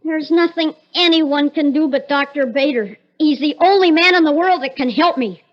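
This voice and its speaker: An actor playing a desperate, demanding shrinking scientist in a 1950s radio drama, insistent and agitated, with an artificially raised voice on an old recording. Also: male voice, very high voice, moderate pace, highs cut off. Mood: urgent, demanding.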